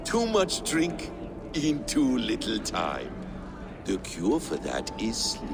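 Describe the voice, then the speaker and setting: Slow, sly Norse stranger. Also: male voice, mid-range voice, huge pitch swings. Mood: smooth, dismissive. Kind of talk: video game voice acting. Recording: music behind the voice.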